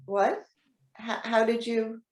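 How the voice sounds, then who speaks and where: A woman playing a trusting, excitable elderly widow in readers theater, talking in a chatty, halting, earnest way. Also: a little high. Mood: flustered. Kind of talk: readers theater stage acting. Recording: roomy, echoing sound.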